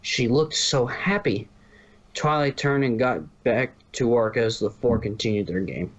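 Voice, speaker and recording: A man's voice: medium pitch, a little slow, dull audio. A man reading a story aloud as narrator, now and then stumbling and correcting himself. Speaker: narrator, fan fiction reader.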